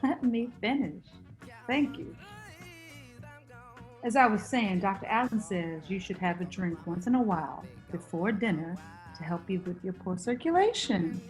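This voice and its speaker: A woman's voice: mid-range voice, expressive, measured pace, a little mellow, faint music behind, close to an everyday voice. A woman acting a caring, insistent middle-aged wife and mother in a screenplay table read. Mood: fussing, insistent.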